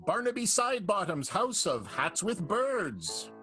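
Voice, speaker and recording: A man announcing an improvised radio comedy show in a showy, theatrical way with drawn-out emphasis. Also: sits high, very animated, music in the background. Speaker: announcer, narrator.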